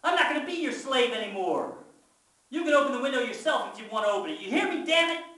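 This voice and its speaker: A man's voice: very high voice, sing-song, quick pace, bright, forceful tone, voice pushed up, heavily acted. A man voicing an upset young farm boy in a live-style story, with folksy, indignant outbursts. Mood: defiant, indignant. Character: aggrieved young farm boy, yelling and tearful. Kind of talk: dramatic first-person storytelling. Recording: very echoey room, far from mic.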